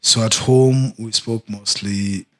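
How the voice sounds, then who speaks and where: A man's voice: on the low side, steady tone, slow, soft background music. A middle-aged man from Kenya whose first language is Luo tells long stories from his childhood in an interview, with many restarts and fillers. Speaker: guest. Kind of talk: storytelling interview answers.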